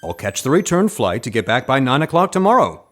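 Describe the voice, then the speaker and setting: A man's voice: medium pitch, sing-song, brisk, faint music behind. A man playing a self-important actor turned undercover spy in a comedy audio drama, speaking in a grandiose, earnest way. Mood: earnest, lofty.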